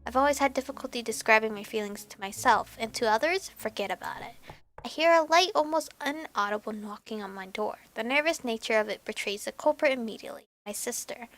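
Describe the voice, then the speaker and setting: High, bright-voiced woman reading aloud. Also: a little fast. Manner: animated, reacting and stumbling over words. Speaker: gamer reading a visual novel aloud for a video. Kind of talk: expressive read-aloud narration with asides. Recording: background music behind voice. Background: game music.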